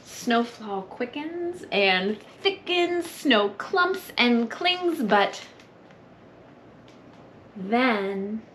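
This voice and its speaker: A woman in her thirties hosting a children's story time, reading and singing expressively with hushed, breathy asides. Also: fairly high pitch, expressive, extremely slow. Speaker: library story time host. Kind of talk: children's story time read-aloud and sing-along. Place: children's library room, indoors. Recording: noticeable background room noise.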